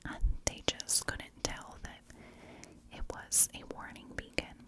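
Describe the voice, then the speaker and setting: A woman whispers her way through an ASMR true crime narration. She takes it very slowly, phrasing softly and deliberately with gaps between short phrases. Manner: soft, unhurried, deliberate phrasing with pauses.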